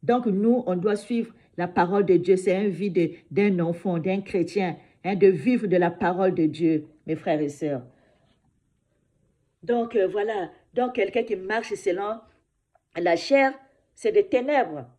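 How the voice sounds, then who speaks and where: A woman preaching an informal sermon, often adding "hein" and addressing her brothers and sisters, slowing down to read scripture; French is not her mother tongue. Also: mid-range voice, mellow. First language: another mother tongue. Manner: repeats "mes frères et sœurs" and "hein" often; slows down for scripture reading.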